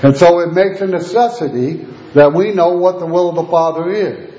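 Male preacher, slightly high voice, going hoarse, methodical sermon delivery; roomy, muffled recording. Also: normal speed. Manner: steady teaching delivery with scripture readings. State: losing his voice. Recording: echoey lo-fi room recording.

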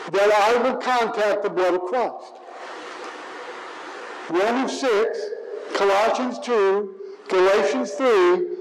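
A man preaches at an unhurried pace, drawing out his key words to drive each point home. His voice sits high for a man. A faint hiss of background noise runs under the recording. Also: rough recording. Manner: slow, deliberate preaching, stretching key words for emphasis. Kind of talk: sermon.

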